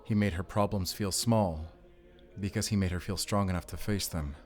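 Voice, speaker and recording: A man's voice: low-pitched, steady tone, normal speed, soft background music, close to an everyday voice. A man playing a guarded, haunted and defiant werewolf hunter in a cinematic audio roleplay, speaking in a terse, solemn way. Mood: wistful. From Germany.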